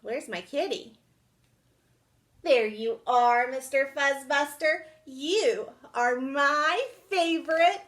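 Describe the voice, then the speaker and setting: A woman's voice: sits high, takes it slowly, fairly bright tone, pitched above the performer's own voice. A woman voicing a cheerful, affectionate little girl in a children's storybook, sweet and playful with her pets.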